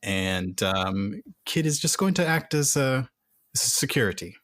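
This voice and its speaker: A man's voice: mid-range voice, lively intonation, unhurried, fairly bright tone, no room sound, clean studio sound. A man casually describing his character and its gear as a player in a tabletop role-playing game. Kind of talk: casual chat, describing a character in third person.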